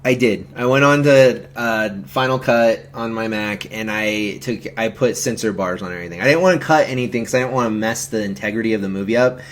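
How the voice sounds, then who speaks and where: A man's voice: lowish voice, a little fast, few pauses. A man hosting a film watch-along stream, talking in a casual, jokey, informal way. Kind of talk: casual chat and film commentary.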